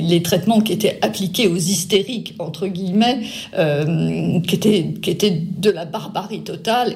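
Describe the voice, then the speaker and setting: A woman's voice: lowish voice, vocal fry, darkish tone. An older woman interviewing an author about her novel, speaking hesitantly with many fillers and restarts. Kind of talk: radio book interview.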